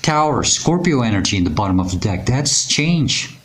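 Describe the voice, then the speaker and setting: A man with a raspy, hoarse voice giving a tarot reading, speaking in a reassuring, unhurried way with many pauses and fillers. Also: medium pitch, big pitch swings. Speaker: tarot reader. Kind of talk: intuitive tarot reading to camera.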